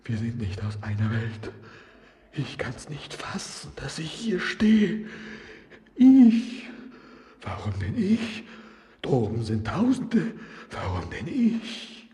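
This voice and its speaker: A man's voice: medium pitch, huge pitch swings, slow, dark tone. An actor playing a tormented young man in a poetic radio drama, declaiming lyrically and breaking into whispers. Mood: dread. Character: tormented, haunted young man in feverish grief and dread. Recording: long reverberant hall, music behind.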